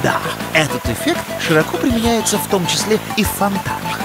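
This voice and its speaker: Brainy young cartoon animal, very animated pitch, didactic, precise, music underneath. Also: brisk. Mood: earnest, explaining. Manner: didactic, precise, hesitant little hems. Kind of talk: animated educational cartoon dialogue, explanatory.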